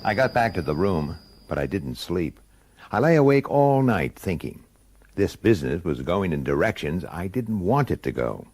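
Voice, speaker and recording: An actor playing a gruff, irritable, middle-aged police detective in a radio drama, blunt in his delivery. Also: deep voice, sing-song, moderate pace, a little mellow, full character voice. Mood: brooding narration. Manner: blunt, hesitant, then explosive. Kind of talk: radio drama acting with first-person narration.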